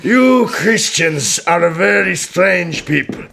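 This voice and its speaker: An actor playing a shrewd, ceremonious medieval sultan in a radio drama, speaking in a raspy, gravelly voice with a courtly, deliberate manner. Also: male voice, high-pitched, lively intonation, pushed and bright, slight background noise, exaggerated voice. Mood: courteous, probing. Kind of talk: radio historical drama acting.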